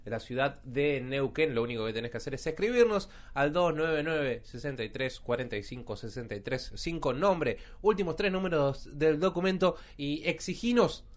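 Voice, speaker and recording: A man's voice: on the high side, lively intonation, moderate pace, few pauses. A man with an Argentine accent hosting a live radio show, upbeat and announcer-like with frequent fillers. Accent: Argentine Spanish. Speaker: radio host.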